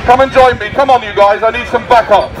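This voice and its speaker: A man's voice: extremely high pitch, steady tone, quick pace. A man publicly arguing and addressing passers-by like a street activist, insistent, repetitive and challenging. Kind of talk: public argument and street oratory. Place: outdoors in a busy urban public space. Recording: noisy outdoor street with crowd and traffic.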